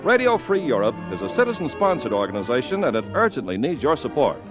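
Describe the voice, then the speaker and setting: A man's voice: mid-range voice, very animated, fast. A man announcing and reading advertising copy in scripted 1950s radio style. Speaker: commercial announcer. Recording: 1950s radio broadcast with music.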